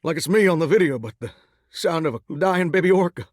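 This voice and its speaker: A man's voice: fairly high pitch, expressive, a little fast, no echo, clean studio sound. A man with a Southern US accent voicing a folksy, earnest, starry-eyed singing shower in a dating game, drawling homespun sayings. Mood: crushed, dejected. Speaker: voice actor in a dating sim game. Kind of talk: expressive video game character dialogue.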